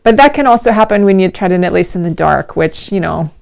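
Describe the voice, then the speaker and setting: Slightly hoarse woman chatting casually. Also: lowish voice, lively intonation, quick pace, a little mellow. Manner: chatty, rambling, laughs often. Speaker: host of a knitting livestream. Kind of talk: casual livestream chat.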